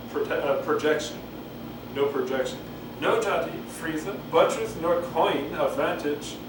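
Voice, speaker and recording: A man doing a casual cold reading of a play, halting, repeating phrases and musing aloud between lines, recorded on a camcorder. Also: a little high. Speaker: amateur reader. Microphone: camcorder at a distance. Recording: roomy, distant camcorder mic, loud noise.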